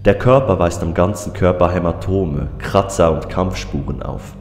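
An actor playing a weary, troubled coroner in a German audio drama, speaking in a deliberate, brooding, clinical way. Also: male voice, low voice, darkish tone. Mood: clinical, puzzled. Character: weary, troubled male small-town coroner. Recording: echoey hall reverb, music behind.

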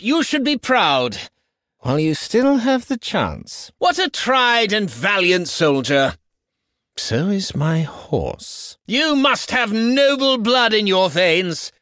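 A haughty video game nobleman who looks down on peasants. His voice is extremely high and sharply bright, recorded very dry. He enunciates pompously, with theatrical condescension. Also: dry recording, heavily acted. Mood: condescending praise. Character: snobby, haughty medieval nobleman, contemptuous of peasants.

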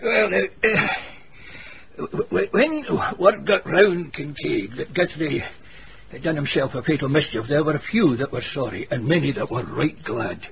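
Old scottish cobbler in a radio play, creaky voice, wordy gossip, bit muffled. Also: male voice, on the high side, expressive, measured pace, a crowd in the background. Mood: bitter, wry. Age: older. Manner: wordy, dialect-rich, pedantic storytelling. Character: venerable Scottish village cobbler, male, learned, gossipy, grave. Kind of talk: radio drama dialogue, storytelling. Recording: muffled radio broadcast, background hiss.

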